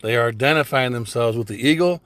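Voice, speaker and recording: A man's voice: medium pitch, fast, a bit bright, dry recording. A man giving a blunt, profane religious commentary in a casual ranting style, swearing heavily.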